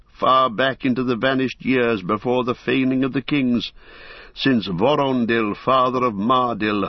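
A man's voice: mid-range voice, no room sound. A man reading an audiobook, voicing a grim, proud old male lord in grief, with a grave, cold manner. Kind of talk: audiobook character voice. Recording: dry, dull lo-fi recording.

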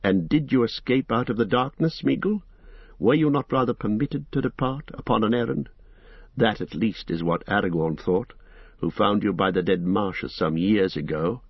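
A grave young leader questions sternly and formally, as a male reader puts him on. His voice is low and husky, and the audio sounds dull. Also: moderate pace, no room sound.

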